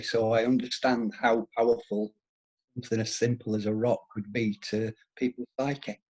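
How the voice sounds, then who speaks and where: A man in his fifties with a Yorkshire accent softened by years in Scotland, rambling through anecdotes in a casual conversation with frequent restarts. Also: medium pitch, poor audio. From England, Yorkshire.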